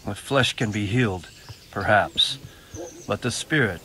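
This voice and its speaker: A man playing a gruff, weary, wounded lord in a medieval video game. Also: fairly low pitch, measured pace, bright, forceful tone, a little hiss or hum. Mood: weary, pained. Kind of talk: video game dialogue acting.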